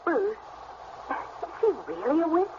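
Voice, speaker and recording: A woman's voice: high-pitched, sing-song, unhurried. An actress playing a drained, protective wife and mother in a radio horror drama, weary and pleading. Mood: weary, worried. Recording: muffled, noisy old radio recording.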